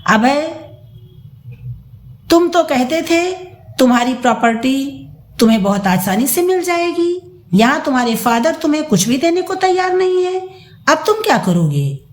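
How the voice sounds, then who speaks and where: A woman's voice: high-pitched, sing-song, unhurried, voice pushed up. A woman voicing an irritated, money-hungry young wife, nagging and scornful, in a dramatised audio story. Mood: angry, irritated. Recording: roomy echo.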